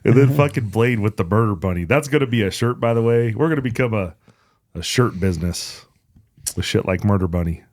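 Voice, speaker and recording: Deep voiced guy hosting a podcast, swears a lot, blunt and jokey, real dry recording. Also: a little fast, a little mellow, dry recording. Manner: blunt, profane, joking banter. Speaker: podcast host. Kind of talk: casual chat, announcements.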